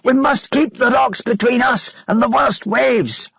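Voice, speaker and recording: A man voicing a brave young rower shouting urgent orders in a storm. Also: very high-pitched, unhurried, vocal fry, breathy edge, no room sound, studio quality, pitched above the performer's own voice, heavily acted.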